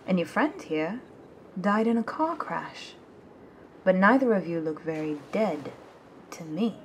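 A sardonic woman detective played by an actress in an ASMR audio drama. Her voice is low and unhurried, confrontational and suspicious, over faint ambience. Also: expressive, slight background noise. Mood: sardonic, accusing. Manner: confrontational, sarcastic.

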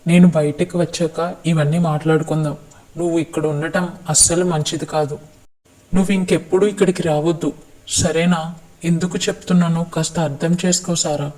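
Man acting stern protective young politician, high male voice, firm, urgent, commanding, web series drama. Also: level tone, a little fast, darkish tone, natural voice, low-quality recording. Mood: firm, urging.

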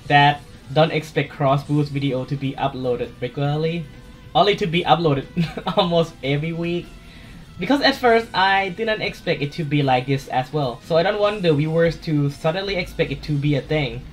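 A man with a Thai accent, hosting his gaming channel in a casual voice-over chat, soft-spoken and a little hesitant. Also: a little high, moderate pace, soft background music.

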